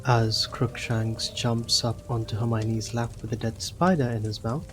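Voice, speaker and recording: A man narrating a scripted audio drama as a measured, descriptive storyteller. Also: mid-range voice, flat delivery, faint music behind, natural voice.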